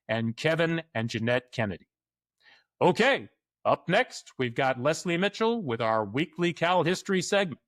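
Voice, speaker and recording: A man hosting a sports podcast, reading announcements and introducing a guest in an upbeat, smooth way. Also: a little high, fairly bright tone, no room sound, clean studio sound. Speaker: podcast host. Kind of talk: scripted podcast announcements and interview.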